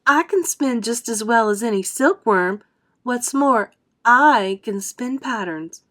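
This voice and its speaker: A woman's voice: a little high, animated, slow pace, a bit bright, voice pushed up, heavily acted. A woman voicing a proud, self-assured female spider in a storybook.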